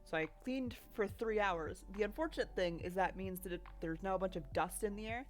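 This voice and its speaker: A woman's voice: medium pitch, brisk, faint music behind. A sniffly young woman streamer chatting casually from her bedroom, rambling and laughing often as she reads chat while she draws. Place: home bedroom.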